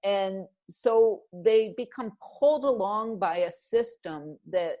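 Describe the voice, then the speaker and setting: A woman's voice: mid-range voice, slow pace, dark tone, muffled recording. A woman in her fifties speaking as a guest in a conversational radio interview, reflective and earnest, with emphatic phrasing. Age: 50s.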